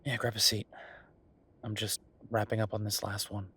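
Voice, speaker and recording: A man's voice: lowish voice, level tone, normal speed, sharp tone, slight background noise. A man playing a wry, affectionate werewolf bureaucrat in an intimate roleplay audio drama, talking in a casual, teasing, conversational way. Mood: flirtatious, teasing.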